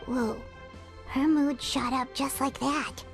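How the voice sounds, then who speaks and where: A woman voicing a tiny, excitable fairy companion in a video game, bubbly and chatty. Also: high-pitched, slow, vocal fry, faint music behind, exaggerated voice. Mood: chirpy. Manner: bubbly, talks about herself by name.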